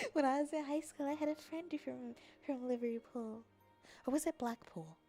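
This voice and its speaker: Young female livestreamer, high voice, silly and expressive casual chat, music underneath. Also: normal speed. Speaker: livestreamer chatting with viewers. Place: apartment.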